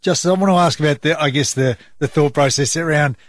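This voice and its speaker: A man's voice: fairly high pitch, quick pace, no room sound. A man with an Australian accent co-hosting a radio show in casual banter. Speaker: radio co-host.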